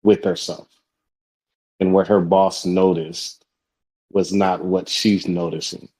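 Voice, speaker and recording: A man with a deep, low voice co-hosts a casual support group conversation. He speaks in an affirming, easygoing way, often addressing the group directly. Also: little pitch movement, takes it slowly, mellow. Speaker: co-host.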